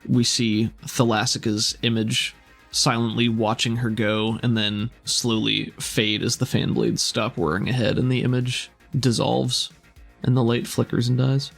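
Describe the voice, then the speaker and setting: A man's voice: mid-range voice, level tone, measured pace, gravelly, faint music behind. A man working as game master, improvising descriptive narration that sets scenes in a tabletop game.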